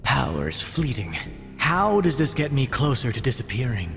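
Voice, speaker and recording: Terse, menacing cartoon infiltrator played by a man, mid-pitched and guarded, long reverb over clear music. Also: sing-song, normal speed, fairly bright tone. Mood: suspicious. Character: surly, guarded turncoat infiltrator creature, vengeful. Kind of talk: comic dub voice acting.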